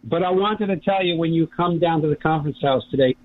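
Male caller, fairly high voice, dry phone-line sound, matter-of-fact. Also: fast talker.

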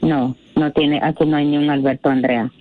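A woman's voice: deep voice, steady tone, normal speed. A woman answering a phone call, wary and curt with the caller. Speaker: prank call target. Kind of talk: phone conversation. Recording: tinny phone line.

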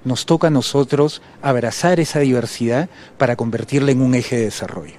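A man's voice: medium pitch, fairly quick, a little mellow. A man with a Peruvian accent speaking courteously and diplomatically as a guest official in an interview. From Peru. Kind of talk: formal, courteous interview talk.

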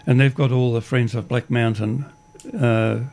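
An Australian man hosting a community radio show, with a croaky voice today, rambling through announcements with frequent restarts in an upbeat way. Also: medium pitch, steady tone, unhurried, darkish tone.

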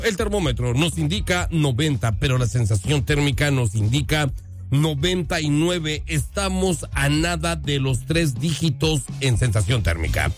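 A man hosting a live radio show, speaking upbeat and emphatic with courteous, drawn-out announcer phrasing. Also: mid-range voice. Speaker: radio host. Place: radio studio. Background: music playing under the voice.

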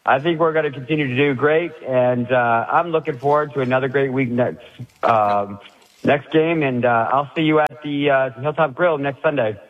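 A man calling in to a sports radio show with a head cold, an excited fan talking haltingly with many fillers. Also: medium pitch. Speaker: caller. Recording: dull phone-in line.

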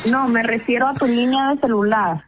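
Husky, higher-voiced woman chatting by phone. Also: normal speed, some chatter behind. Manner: polite, patient, amused. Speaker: phone participant in a radio prank. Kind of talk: casual phone chat.

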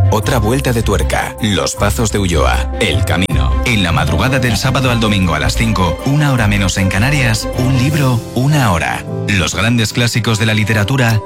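A man reading a radio promo in a measured, announcing way. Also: on the low side, lively intonation, over music. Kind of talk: promo voice-over.

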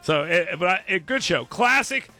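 A man's voice: high voice, expressive, normal speed, bright, forceful tone, faint music behind. A man hosting a podcast, joking bluntly in casual chat. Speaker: podcast host.

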